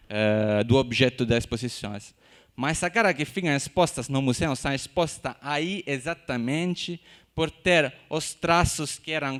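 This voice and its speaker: A man from Sicily with a Sicilian Italian accent, lecturing in an academic talk. He pauses and restarts often and tags his phrases with questions. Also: mid-range voice, fairly bright tone. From Sicily, Italy. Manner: halting, many "né?" tags and restarts. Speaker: university lecturer. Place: university lecture room.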